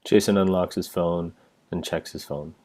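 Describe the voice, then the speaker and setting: Very deep man reading stage directions. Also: steady tone, moderate pace, darkish tone. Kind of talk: scripted narration.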